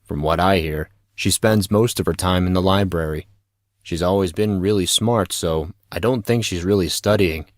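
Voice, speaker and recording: A man's voice: sits low, moderate pace, no echo, studio quality, lightly acted. A man playing a laid-back, gossipy teenage schoolboy who talks in flippant slang in an audio drama. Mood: flippant, casual.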